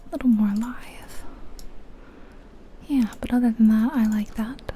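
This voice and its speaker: A woman whispering softly and breathily in an unhurried, musing ASMR commentary on a video game. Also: on the high side, fairly even intonation, a little hiss or hum. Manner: hushed, unhurried, musing aloud.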